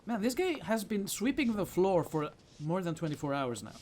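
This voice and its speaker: Male gamer, highish lively voice, playful asides, casual commentary, faint background noise. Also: normal speed. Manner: playful, muttering asides, exclaiming. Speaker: gaming video host.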